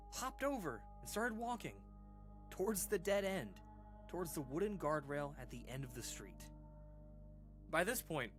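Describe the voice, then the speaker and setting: Male actor as uneasy guy recalling a creepy childhood story for a radio horror drama; halting, casual delivery, very lively pitch, music underneath. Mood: tense, disturbed. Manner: hesitant, halting, informal, with pauses and sighs. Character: uneasy man recounting an eerie childhood memory to listeners. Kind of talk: audio drama monologue, conversational horror storytelling.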